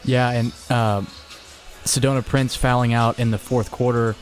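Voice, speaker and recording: A man's voice: medium pitch, little pitch movement, normal speed, faint music behind. Upbeat young male college radio DJ. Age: young adult. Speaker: college radio DJ and postgame host. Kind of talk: radio DJ patter and postgame talk.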